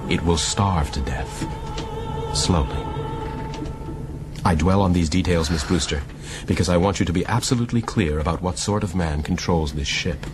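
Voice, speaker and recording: A grim, educated gentleman sailor in a radio play acted by a man. His low voice is formal and deliberate, with music behind it. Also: lightly acted. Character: grim, self-justifying, educated gentleman sailor held captive. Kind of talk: radio drama acting.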